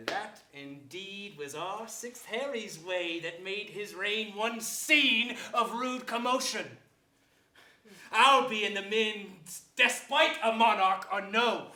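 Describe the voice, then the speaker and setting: A man's voice: high-pitched, sharp tone, full character voice. An actor playing a bold, scornful king in a Shakespearean stage reading, declamatory and sardonic. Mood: defiant. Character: bold, scornful king mustering for battle, merciless. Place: theater stage before an audience, indoors. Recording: echoey theater stage.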